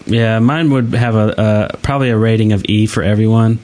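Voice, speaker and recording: A man's voice: fairly low pitch, fairly even intonation, brisk, darkish tone. A man co-hosting a podcast in loose, casual group conversation. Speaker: podcast co-host.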